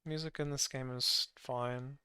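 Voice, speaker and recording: Bright, clear-voiced man chatting casually. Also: mid-range voice, fairly even intonation, a little slow, no room sound, clean studio sound. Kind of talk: casual chat over gameplay.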